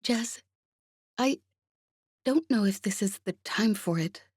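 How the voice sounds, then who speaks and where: A woman reading as a brisk, concerned female comrade. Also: mid-range voice, lively intonation, a little mellow, breathy edge, dry recording, clean studio sound, voice pushed up. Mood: hesitant, hopeful. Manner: brisk, pleasant, hesitant with concern. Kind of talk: audiobook character dialogue.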